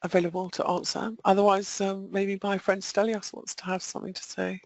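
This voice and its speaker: A woman's voice: medium pitch, little pitch movement, brisk. A British-accented woman giving informal market analysis in a conversational, self-deprecating manner on a webinar. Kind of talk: informal chart commentary. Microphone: webinar call. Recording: dry, muffled webinar audio.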